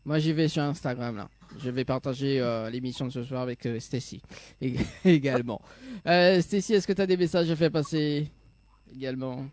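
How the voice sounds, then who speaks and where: A man hosting a live radio and TV show from the studio, playful and quick to laugh. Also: mid-range voice, darkish tone. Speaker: radio and TV host.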